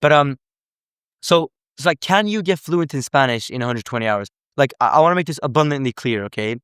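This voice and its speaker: Smooth-voiced young man explaining earnestly. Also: medium pitch, expressive, fairly quick, a bit bright, dry recording, studio quality. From Sweden. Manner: earnest, explanatory, full of self-corrections. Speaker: language coach. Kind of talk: casual unscripted live commentary.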